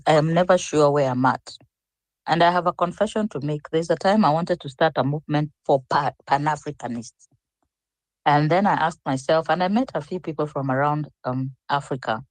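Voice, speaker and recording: Mujer mayor, charla reflexiva y pausada. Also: female voice, low-pitched, darkish tone, no room sound, rough recording. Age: older. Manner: reflective, rambling with restarts. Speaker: panelist. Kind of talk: online panel discussion.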